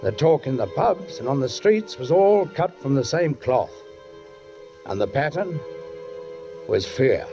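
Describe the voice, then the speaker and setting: An actor playing a brooding, watchful London police sergeant who narrates in 1940s radio drama style. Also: male voice, medium pitch, lively intonation, unhurried, pauses often. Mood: brooding, suspenseful. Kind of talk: 1940s radio acting, first-person narration. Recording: dull 1940s radio recording, music behind.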